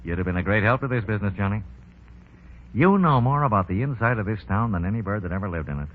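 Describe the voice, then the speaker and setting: A man's voice: fairly low pitch, expressive, fast, slight background noise, lightly acted. A man acting a shrewd American racketeer boss in a 1930s radio drama, with slangy, sardonic, commanding talk. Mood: persuasive. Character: smooth, shrewd male racketeering crime boss. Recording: dull 1930s radio broadcast.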